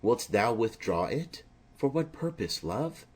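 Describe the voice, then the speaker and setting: A man voicing an ardent, bold, lovestruck young nobleman in earnest, measured Shakespearean verse. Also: mid-range voice, big pitch swings, breathy edge. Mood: yearning.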